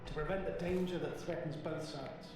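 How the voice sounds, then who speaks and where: An actor playing a formal, cautious spaceship commander in a video game, speaking in a measured way. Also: male voice, a little high, fairly even intonation, close to an everyday voice. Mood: stern, formal. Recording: very echoey, noisy radio link.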